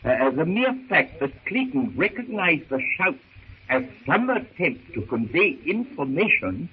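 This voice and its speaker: Fussy, high-voiced old professor. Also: male voice, very animated, measured pace. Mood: pedantic, earnest. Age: older. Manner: dithering, stammering, pompous formal diction. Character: fussy, bumbling, pedantic old professor and doting father. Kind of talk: 1930s radio serial acting. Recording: muffled, hissy 1930s radio recording.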